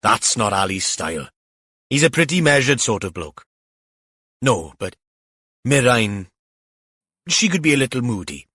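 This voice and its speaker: A man's voice: mid-range voice, very animated, measured pace, pauses often, a bit bright, slightly rough, no room sound, heavily acted. A man acts out a fussy older husband from a small-town mystery audiobook. He is plain-spoken and earnest, faintly aggrieved, in a close dry recording. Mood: measured.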